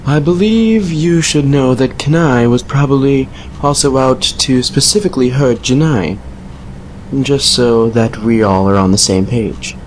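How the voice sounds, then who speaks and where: A man playing a courteous, secretive vampire lord in an audio drama, speaking in a measured, formal, confiding way. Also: mid-range voice, slight background noise, close to an everyday voice, rough recording. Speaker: voice actor in a fan fiction audio drama. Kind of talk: dramatic character dialogue and storytelling.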